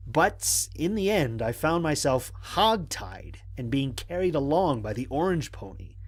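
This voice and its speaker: A man playing a stranded space explorer who narrates his mission log in a measured, formal, dryly humorous way. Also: fairly high pitch, very animated, slightly rough, heavily acted. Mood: measured, analytical. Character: stranded male space explorer, analytical, wryly exasperated. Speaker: narrator of an audio drama. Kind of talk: scripted first-person mission log narration.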